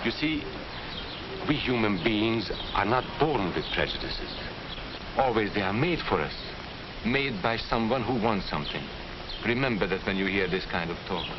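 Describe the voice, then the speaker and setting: Actor as earnest deep-voiced professor. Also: male voice, animated, background noise, lightly acted. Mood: earnest warning. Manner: earnest, deliberate, didactic. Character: Hungarian-born former professor warning against Nazi hatred. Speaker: narrator recounting his past. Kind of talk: 1940s educational film acting. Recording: dull 1940s film soundtrack, music behind.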